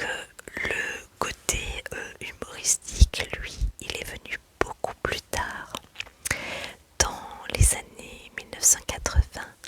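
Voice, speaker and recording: Slow whispering woman, breathy and close. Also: no room sound. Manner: soft, hesitant, many fillers. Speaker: mother; video creator. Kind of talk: whispered ASMR chat.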